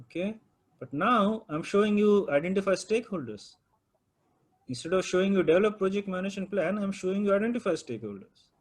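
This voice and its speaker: A man's voice: high voice, expressive, fairly quick. A man whose first language is Urdu, teaching a project management class and prodding students with questions.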